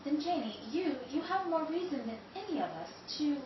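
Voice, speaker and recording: A woman's voice: sits high, sing-song, brisk, heavy reverb, distant mic, muffled recording, noisy background. A woman playing a nervous scheming wife. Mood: guarded. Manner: evasive, nervous stammers. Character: scheming, defensive married woman. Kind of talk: 1930s radio drama acting.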